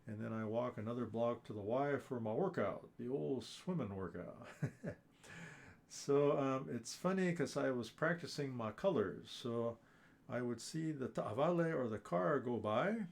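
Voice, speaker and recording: Slow, gravelly man teaching a class. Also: medium pitch, animated. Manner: friendly, teacherly, chuckles often, many fillers. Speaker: ukulele class host. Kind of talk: live online class talk.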